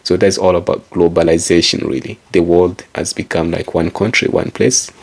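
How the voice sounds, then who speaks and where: A man's voice: low-pitched, steady tone, normal speed. A man whose first language is Kikongo, giving a rambling motivational monologue and addressing listeners like a presenter. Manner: rambling, circles back with repeated phrases. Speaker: author and speaker.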